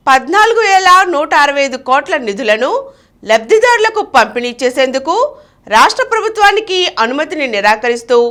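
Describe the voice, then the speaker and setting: Female news presenter, high pitch, very animated melody, reads scripted bulletins.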